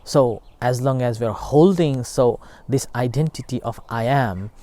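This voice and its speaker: Mellow-voiced man giving a halting dharma talk. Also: medium pitch. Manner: halting, many fillers and restarts. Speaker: meditation teacher.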